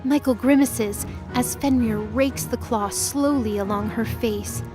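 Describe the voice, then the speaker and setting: A woman's voice: a little high, measured pace, music in the background. A woman narrating a scripted audio drama with intense, vivid storytelling.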